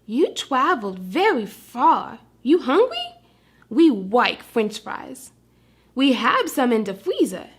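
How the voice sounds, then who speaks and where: A woman playing an earnest, high-pitched little puppy. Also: very animated, takes it slowly, slightly rough, full character voice. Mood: friendly, welcoming. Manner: babyish grammar, says dat for that. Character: mischievous, earnest little puppy boy with big imagination. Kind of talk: read-aloud children's storybook character.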